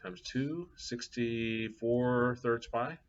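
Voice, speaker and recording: Middle-aged man, math instructor, relaxed rambling lecture, muffled lo-fi mic. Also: medium pitch, extremely slow. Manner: casual, chatty, thinking aloud with fillers. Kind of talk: live class lecture with anecdotes. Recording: dull lo-fi classroom capture.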